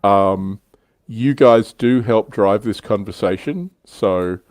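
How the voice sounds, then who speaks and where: Low, dry-sounding man hosting casually. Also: slow, darkish tone, no echo. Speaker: livestream host. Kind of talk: casual hobby livestream chat.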